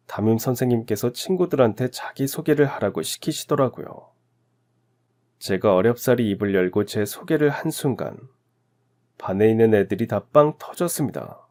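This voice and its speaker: A man from Daegu narrating his own life story in a calm, reflective, confessional first-person style. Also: mid-range voice. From Daegu, South Korea.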